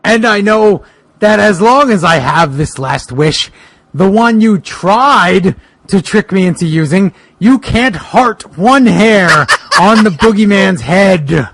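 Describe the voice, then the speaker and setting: Man putting on gravelly, theatrical Boogeyman. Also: high-pitched, lively intonation, slow pace, fairly bright tone, no echo, full character voice. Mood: defiant. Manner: theatrical, taunting. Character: Boogeyman, defiant monster-hero with theatrical bluster. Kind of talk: comic dramatic reading of fan fiction.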